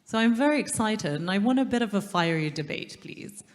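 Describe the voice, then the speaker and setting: A woman's voice: medium pitch, brisk. Woman moderating in a reverberant hall. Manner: lively, chatty, rephrases for the audience. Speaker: panel moderator. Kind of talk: live panel moderating. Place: large conference hall, stage.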